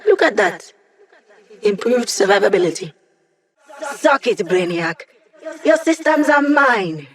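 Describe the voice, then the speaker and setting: A cheeky holographic hacker girl for a video game. She is brainy and wry, with a breezy, casual way of talking and a medium-pitched voice. She sounds like playful tech support for a squad of fighters. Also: big pitch swings, unhurried. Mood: confident, cheeky. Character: cheeky, brainy female hacker, a digital holographic young woman.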